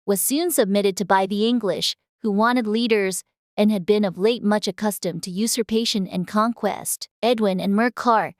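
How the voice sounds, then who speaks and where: A woman's voice: medium pitch, fairly even intonation, moderate pace, no echo, clean studio sound, natural voice. A woman voicing a self-important mouse who lectures the others in a storybook drama. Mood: lecturing. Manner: prim, lecturing.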